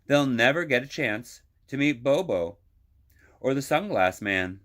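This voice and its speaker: A man voicing a spirited, wise grandmother who chides her grandson warmly in a storybook reading. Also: medium pitch, animated, a little slow, pitched above the performer's own voice. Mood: fond, firm.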